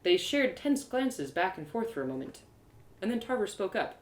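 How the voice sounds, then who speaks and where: A woman reading a first-person fantasy story live, in an expressive, confiding, dramatized narration. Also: mid-range voice, moderate pace. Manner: expressive, confiding, acted-out delivery. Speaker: storyteller and audio drama performer.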